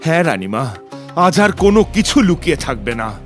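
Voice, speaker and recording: A man playing a resolute, earnest young hero in an animated horror fairy tale, solemn and respectful. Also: a little high, normal speed, faint music behind.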